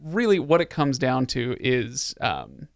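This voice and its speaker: A man's voice: mid-range voice, huge pitch swings, takes it slowly, vocal fry, no room sound, studio quality. A man hosting a casual, conversational podcast discussion with friends. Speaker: podcast host. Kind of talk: casual panel chat.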